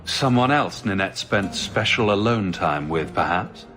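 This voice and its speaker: Game lead: wry, blunt hero, lowish lively voice, ringing in long reverb. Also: male voice, a little slow, faint music behind. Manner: probing, dry. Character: wry, blunt male adventurer hero, sardonic. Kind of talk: dramatic video game dialogue.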